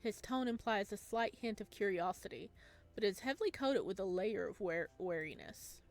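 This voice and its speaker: A woman reading a visual novel aloud as a let's-play host, with expressive narration and casual asides. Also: mid-range voice, normal speed, soft background music.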